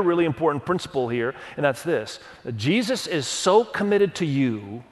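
A middle-aged man who grew up in Indonesia, with Indonesian as his first language, preaching a sermon that mixes personal stories with scripture reading in an earnest storytelling manner. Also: a little high. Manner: earnest storytelling, leans on verses, quick restarts. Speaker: pastor. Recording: long hall reverb.

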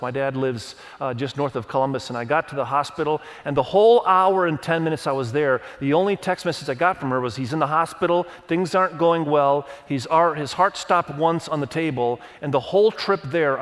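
A middle-aged man who grew up in Indonesia, with Indonesian as his first language, preaching a sermon that mixes personal stories with scripture reading in an earnest storytelling manner. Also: fairly high pitch. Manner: earnest storytelling, leans on verses, quick restarts. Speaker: pastor. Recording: long hall reverb.

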